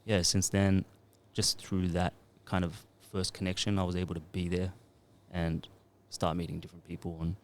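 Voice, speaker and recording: A man's voice: sits low, flat delivery, moderate pace, hardly any pauses. A man in his thirties, a music producer and DJ, talking in a laid-back, rambling way into a podcast mic. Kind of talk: casual podcast interview.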